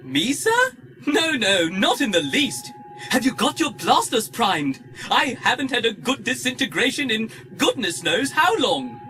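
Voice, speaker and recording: An actor playing a fussy, chattering protocol droid with a prim, fretful, effusively polite manner in an audio drama. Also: male voice, very high voice, huge pitch swings, a little slow, sharp tone, soft background music, full character voice. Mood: eagerly polite. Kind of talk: 1990s audio drama acting.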